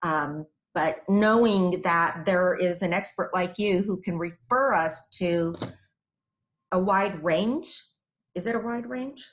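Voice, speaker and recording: A woman in her forties hosting a conversational session from home, speaking reflectively in measured, halting phrases. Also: fairly low pitch. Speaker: session host. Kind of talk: conversational interview on a video call. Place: room at home, indoors.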